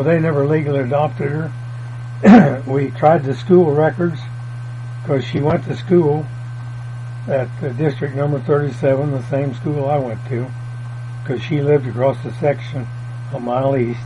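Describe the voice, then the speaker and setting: An older man, telling family history in a folksy, meandering way. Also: mid-range voice. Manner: folksy, meandering, plain country grammar. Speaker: oral history interviewee. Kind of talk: rambling family reminiscence. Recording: dull lo-fi tape with mains hum.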